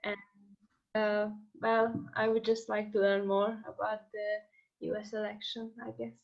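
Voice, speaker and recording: A woman's voice: mid-range voice, little pitch movement, a little slow, creaky. A shy young woman from Hungary introducing herself briefly on a video call. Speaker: participant. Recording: muffled video call audio.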